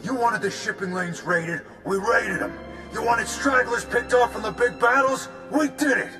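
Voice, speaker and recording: A man's voice: fairly high pitch, animated, a little slow, music in the background. A man voicing a brash, defensive pirate gang boss in a video game, with an indignant, sneering manner.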